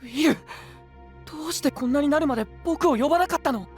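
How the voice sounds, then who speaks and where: An actor playing a gentle young knight burdened by devotion, in an anime-style voice drama. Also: male voice, extremely high pitch, fast, faint music behind. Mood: desperate.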